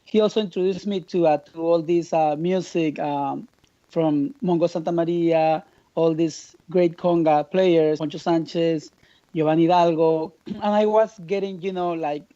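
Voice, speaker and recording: Mexican-accented man, mellow and lo-fi. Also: on the high side, fairly even intonation. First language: Spanish. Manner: halting, searching for words. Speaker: percussionist guest. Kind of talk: casual remote interview. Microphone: video call.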